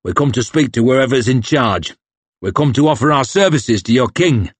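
A man voicing a veteran warrior who speaks clearly and patiently. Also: medium pitch, lively intonation, moderate pace, no echo, voice pushed up. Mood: steady.

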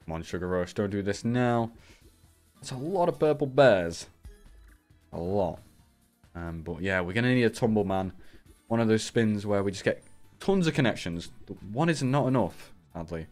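A young man, hoarse from a cold, giving live commentary on a slots stream through a headset and jumping up loudly at big wins. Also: on the low side, animated, moderate pace, long pauses, soft background music. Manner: shouts and rises sharply at big wins. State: raspy from a cold.

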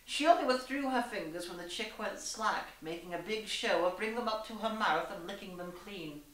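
A young woman reads a story aloud in a theatrical, deliberate manner. Her voice is bright and forceful. The sound is echoey and distant from the microphone, as in a plain room. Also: medium pitch. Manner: theatrical, deliberate, with emphatic character dialogue. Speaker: reader and host in costume. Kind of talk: dramatised reading of fan fiction. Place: small plain room indoors. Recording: roomy echo, distant camera microphone.

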